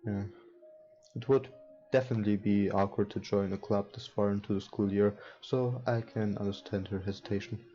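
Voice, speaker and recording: Guy with a lowish mellow voice, not a native english speaker, reads a visual novel haltingly, music in the back. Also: fairly even intonation. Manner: hesitant, halting delivery. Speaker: let's play host. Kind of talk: reading visual novel text aloud with casual commentary. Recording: dull lo-fi mic, music behind. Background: game music.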